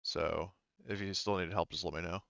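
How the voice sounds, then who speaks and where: Man, low smooth voice, game master, halting casual explanations, dry slightly dull audio. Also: fairly even intonation, a bit bright, no echo. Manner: halting, rambling, informal with mild swearing. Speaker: game master of an online tabletop roleplaying game.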